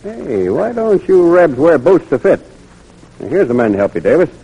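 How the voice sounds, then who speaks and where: A man's voice: on the high side, sing-song, moderate pace, gravelly, warm tone, breathy edge, dull audio, full character voice. Army guard sergeant, male, offhand, jeering, bossy, classic radio drama. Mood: offhand, needling. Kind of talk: old-time radio drama acting.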